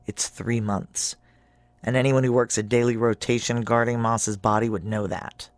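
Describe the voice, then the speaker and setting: An actor playing a guarded, wry security chief in a noir audio drama, narrating and speaking in a clipped deadpan. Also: male voice, mid-range voice, moderate pace, dry recording, close to an everyday voice.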